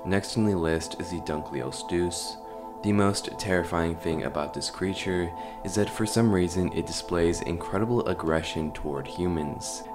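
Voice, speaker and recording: A man hosting a horror explainer video, giving scripted commentary with casual asides to his viewers. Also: deep voice. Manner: addresses viewers informally. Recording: music behind the voice.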